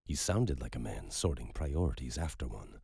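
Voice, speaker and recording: A man reading scripted dramatic fiction as an audiobook narrator, in a husky voice. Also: rumbling low, sing-song, moderate pace, warm tone, no room sound, clean studio sound.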